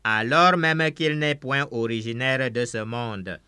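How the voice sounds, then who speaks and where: Male preacher, emphatic and repetitive, bright clear tone, dry recording. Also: mid-range voice, takes it slowly, dry recording. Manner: emphatic, repeats each phrase several times. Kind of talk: sermon with interpreter.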